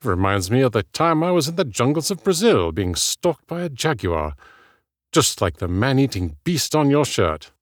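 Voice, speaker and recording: Man playing a flamboyant, smooth British charmer, swooping intonation. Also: mid-range voice, very animated, moderate pace, no echo, clean studio sound, voice pushed up. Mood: boastful, teasing. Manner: theatrical, effusive. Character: boisterous, elegant British gentleman, flamboyant charmer.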